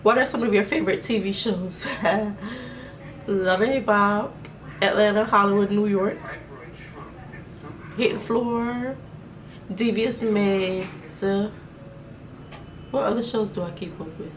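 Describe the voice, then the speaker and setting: A woman's voice: mid-range voice, slow, pauses often, recorded from a distance, slight background noise. A young woman from Jamaica with a Jamaican accent, answering get-to-know-me questions in a chatty, rambling way. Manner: chatty, rambling, many fillers. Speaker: vlogger. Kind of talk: casual Q&A vlog. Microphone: camera mic.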